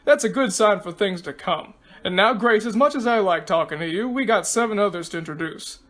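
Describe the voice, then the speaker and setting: A woman's voice: mid-range voice, animated, brisk, fairly bright tone, close to an everyday voice. A breezy male reality-show host from a story, acted by a woman narrator. He is showy and glib, with a teasing way of addressing people.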